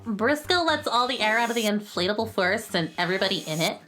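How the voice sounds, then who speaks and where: A woman's voice: medium pitch, expressive, fast, sharp tone. A woman playing a shy, sweet little alien girl made of sludge, timid and stuttering, in a children's radio drama. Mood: worried. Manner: hesitant, timid, innocent.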